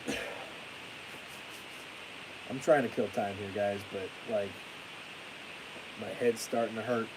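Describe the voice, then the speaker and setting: A young man chatting on a live stream with a stuffy nose and a fading voice, ranting excitably and then trailing off into tired mumbles. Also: on the low side, slow pace, pauses often. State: stuffy-nosed, losing his voice. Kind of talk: casual live stream chat. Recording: hissy home stream mic.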